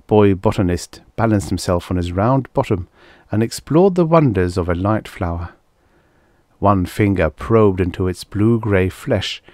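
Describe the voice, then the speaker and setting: A man reading literary science fiction as an audiobook narrator, with measured, expressive delivery and deliberate phrasing. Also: sits low, no echo, clean studio sound.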